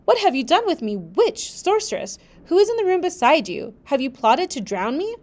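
Woman reading out a bossy gruff gentleman giving orders, kinda high voice. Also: sing-song, moderate pace. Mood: alarmed. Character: brusque commanding gentleman, adult man.

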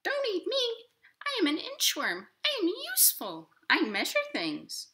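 A woman's voice: very high-pitched, wildly swooping pitch, measured pace, bright, forceful tone, voice pushed up, heavily acted. A woman voicing a tiny, earnest, pleading male inchworm in a storybook read-aloud.

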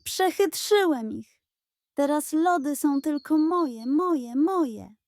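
A woman's voice: high-pitched, animated, slow pace, a little mellow, dry recording, voice pushed up, full character voice. A woman voicing a greedy, cheeky male pug in a children's audio fairy tale, whiny and self-righteous. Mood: gloating.

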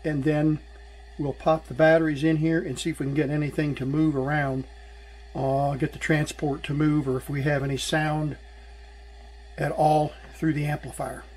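A middle-aged man gives a casual hands-on tutorial as he works, thinking aloud in an unhurried way. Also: a little high, flat delivery, a little mellow, breathy edge. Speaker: electronics repair hobbyist and host. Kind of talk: casual hands-on tutorial narration while working. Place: small indoor workbench.

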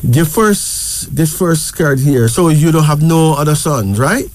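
A man's voice: on the high side, expressive, measured pace, slight background noise. A man with a Barbadian accent reading cards for a caller in a casual chat, talking in a reassuring way with many tag questions. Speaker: card reader.

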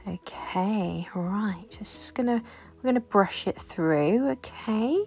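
A woman's voice: medium pitch, slow pace, a little mellow. A woman playing a friendly, gushing doll hairdresser in an improvised doll story, chatting and reassuring her clients. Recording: music behind the voice.

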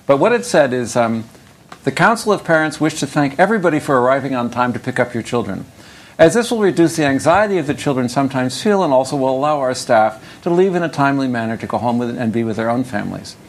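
A man's voice: medium pitch, animated, quick pace. An older man lecturing on economics in a conversational, digressive way, with wry asides. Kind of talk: academic lecture with Q&A.

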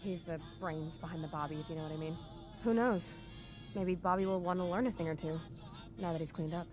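A woman's voice: on the low side, fairly quick, lightly acted, poor audio. A woman playing a weary, tough young vault dweller in a video game, blunt and informal as a protective sister. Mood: wry, worried. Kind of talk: video game dialogue acting. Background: game music.

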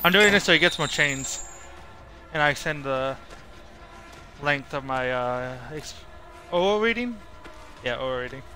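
A man's voice: mid-range voice, expressive, measured pace, pauses often, pushed and bright. A young man giving live gameplay commentary as a streamer, muttering to himself in short fragments. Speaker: game streamer. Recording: game music and sounds behind. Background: horror game music and effects.

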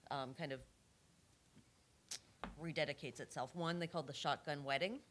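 A woman speaking as a panelist at a policy discussion in a conference room, measured and reflective, with frequent fillers. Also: low-pitched, a bit bright, a little hiss or hum. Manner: measured, many fillers and hedges. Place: large conference room with audience.

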